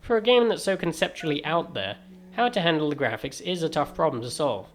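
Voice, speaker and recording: A man's voice: a little high, very animated, a little fast, faint music behind. A man with a transatlantic accent narrating a scripted game review in a wry, dry-humoured manner.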